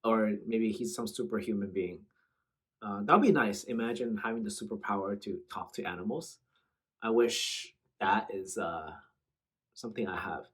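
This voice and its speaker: Husky, slangy man reacting casually. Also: lowish voice, normal speed. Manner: slangy, bantering. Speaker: host.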